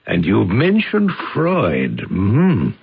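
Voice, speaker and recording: Old radio drama: frail, devoted old gentleman, gravelly and expressive, on a dull recording. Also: lowish voice, drawn-out words, no room sound, exaggerated voice. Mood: amused, fond. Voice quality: husky, gravelly. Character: frail, courtly old Transylvanian husband, failing but devoted.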